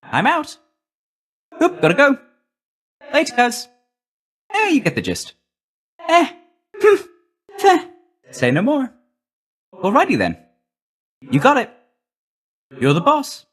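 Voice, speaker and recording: A man's voice: extremely high pitch, huge pitch swings, takes it slowly, pauses often, a bit bright, exaggerated voice. A man voicing a breezy, chummy young messenger god in a video game, with a slangy, glib, friendly manner. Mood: brisk, upbeat.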